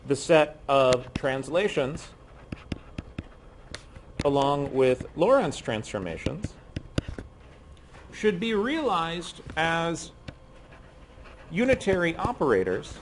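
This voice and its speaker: A middle-aged man lecturing on physics to a class, speaking softly and hoarsely because he has a cold and is losing his voice, with frequent ums. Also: a little high, very animated, takes it slowly, pauses often, background noise. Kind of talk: university lecture. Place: lecture room.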